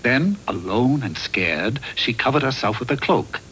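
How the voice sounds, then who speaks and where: A man's voice: mid-range voice, expressive, measured pace, fairly bright tone, slight background noise, rough recording. A man narrating a comic fairy tale in a wry, theatrical storyteller's manner, from a script.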